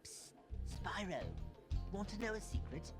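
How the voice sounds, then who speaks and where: A man's voice: high voice, expressive, unhurried. A man voicing a secretive, friendly dragon who shares tips in a cartoon video game. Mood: conspiratorial. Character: secretive, friendly dragon tipping off a young hero. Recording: game audio under music.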